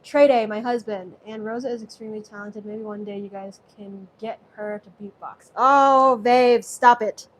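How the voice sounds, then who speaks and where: A woman's voice: mid-range voice, steady tone, moderate pace, few pauses, rough recording. A woman from Southeast Asia hosting a live-stream cooking show from her home kitchen, chatting loosely and reading viewer comments aloud. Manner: chatty, reads viewer comments aloud, rambling with many restarts. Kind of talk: casual live-stream chat while cooking.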